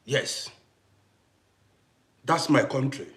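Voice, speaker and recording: A man's voice: mid-range voice, animated, extremely slow, fairly bright tone, reverberant. A middle-aged man from Congo hosting a casual live stream, talking emphatically, repeating himself and greeting viewers by name. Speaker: TikTok live host.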